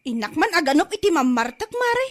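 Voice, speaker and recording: High, expressive Ilocano fed-up wife played by a woman. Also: brisk. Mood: worried. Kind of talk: comedy drama podcast acting.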